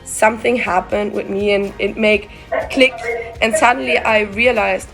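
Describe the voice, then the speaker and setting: A woman's voice: medium pitch, fairly even intonation, measured pace, vocal fry, a bit bright, faint music behind, low-quality recording. A young woman from Costa Rica giving heartfelt, flowing answers as an interview guest. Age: young adult. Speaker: guest climate activist.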